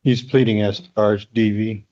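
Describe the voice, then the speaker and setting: A man's voice: fairly low pitch, flat delivery, a little slow, warm tone. A man speaking as a defense attorney in court, recorded with dull, lo-fi sound. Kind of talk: spoken courtroom advocacy.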